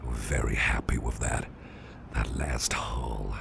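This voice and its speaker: A man playing a caring, reassuring businessman boss in an ASMR roleplay, murmuring softly and close in a gravelly, half-whispered voice. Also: a bass voice, fairly even intonation, noisy background, full character voice. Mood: soothing, pleased. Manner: slow, hesitant, reassuring, repeats phrases.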